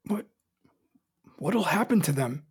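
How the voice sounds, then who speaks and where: A young emperor of an audio drama, angry and grieving underneath his pride. His voice is heavily breathy and husky, and he speaks in brooding, formal, clipped phrases. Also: on the high side, animated, slow, heavily acted. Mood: distraught. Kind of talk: audio drama acting with first-person narration.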